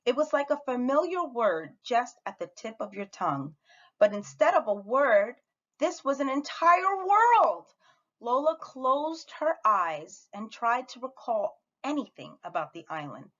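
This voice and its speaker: A woman reads a children's storybook aloud with a very lively, swooping delivery. She narrates like an animated schoolteacher, higher than average in pitch. The recording is slightly dull and lo-fi. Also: unhurried. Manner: animated, acts out the dialogue. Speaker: teacher reading a picture book aloud.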